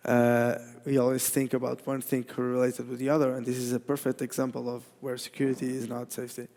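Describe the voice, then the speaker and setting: A man with a Portuguese accent gives a technical talk in a large hall. His voice is gravelly and sits at an ordinary pitch, and he often stops and restarts his sentences. The sound rings with a long hall reverb. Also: completely flat delivery, dark tone. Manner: halting, many restarts and fillers. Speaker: conference speaker.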